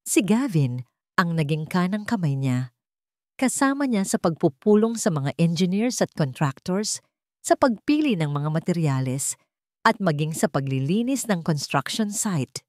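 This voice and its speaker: Low-voiced woman narrating a romantic drama. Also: sing-song, a little slow, a little mellow, no room sound, studio quality. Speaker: story narrator.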